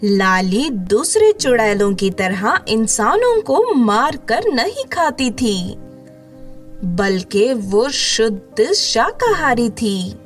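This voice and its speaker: A woman narrating a children's witch story in a steady storybook manner. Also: a little high, slow, soft background music.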